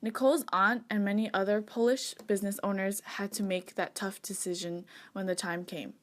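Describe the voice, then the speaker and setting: A woman's voice: medium pitch, fairly even intonation, moderate pace. Woman narrating a scripted documentary. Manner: read-aloud, formal.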